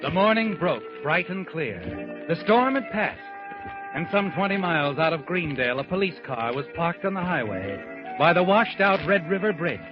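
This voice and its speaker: A mystery narrator, sets the scene in a raised-pitch voice. Music plays clearly behind him on a dull 1940s radio recording. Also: male voice, sing-song, moderate pace. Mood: ominous storytelling. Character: male mystery narrator setting an ominous scene.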